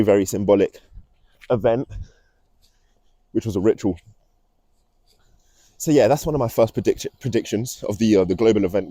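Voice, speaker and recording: A man's voice: fairly low pitch, dark tone, no echo. A young man, talking earnestly and ominously in a casual rambling vlog as he walks outdoors. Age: young adult. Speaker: vlog host. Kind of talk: casual rambling monologue to camera. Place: outdoors, walking.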